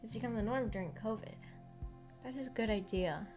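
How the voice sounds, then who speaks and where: A young adult woman livestreaming in a casual, halting, rambling chat with her viewers over background music. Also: fairly high pitch, a little mellow. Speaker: streamer hosting a visual novel book club.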